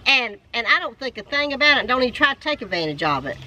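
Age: late 50s. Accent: Southern US. Pitch: lively and bright. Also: female voice, fast. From North Carolina. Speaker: co-host. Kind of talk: casual chat. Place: outdoors, seated in a shaded golf cart. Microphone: fixed camera in front.

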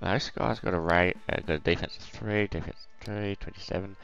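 A man with a croaky voice hosting a let's play, casually thinking aloud over a strategy game with lots of ums. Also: low-pitched, fairly even intonation, a little fast, a bit bright, dull audio, soft background music.

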